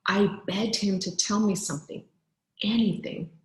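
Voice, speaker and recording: A woman teacher reading aloud to children in an expressive storybook style, with deliberate, clearly phrased delivery. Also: mid-range voice, echoey room.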